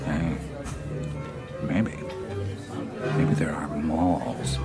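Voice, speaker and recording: An actor with an American accent playing an easygoing, wry traveler in casual, musing radio drama dialogue. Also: male voice, very deep voice, fairly even intonation, very slow, gravelly, loud music behind the voice, over a noisy crowd, exaggerated voice. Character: easygoing, wryly humorous American male traveler.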